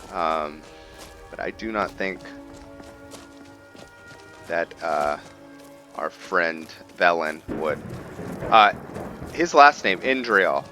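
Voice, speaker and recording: A man giving casual gameplay commentary on a roleplay, thinking aloud and often pausing to find his words. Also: sits low. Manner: musing, thinking aloud with frequent hesitations. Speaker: gaming video host.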